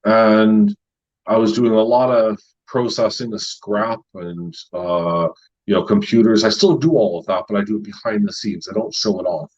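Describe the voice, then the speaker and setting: A man's voice: on the low side, normal speed, darkish tone, dull audio. A middle-aged American man, a retired paramedic, hosting a casual live stream and rambling with fillers and laughs. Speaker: YouTube channel host.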